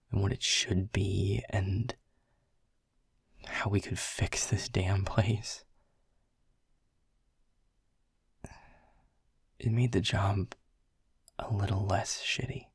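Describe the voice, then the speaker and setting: A man's voice: on the low side, gravelly, slightly rough, dry recording, clean studio sound, full character voice. A man playing a world-weary male middle manager who is blunt and swears, confessing in a half-whisper. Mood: regretful. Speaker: boss. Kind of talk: audio roleplay acting.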